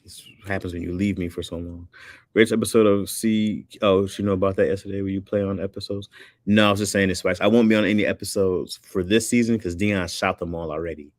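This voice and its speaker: Man, very deep voice, casual streamer chat, laid-back, laughs a lot, dry close sound. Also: fairly even intonation, quick pace, dry recording. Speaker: livestream host reading chat.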